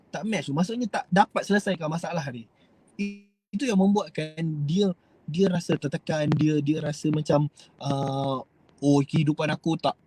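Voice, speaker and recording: Husky Malaysian man, rambling motivational talk. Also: a little high, darkish tone, poor audio. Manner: rambling, hesitant, asks viewers to comment. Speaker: host. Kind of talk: casual motivational talk to viewers.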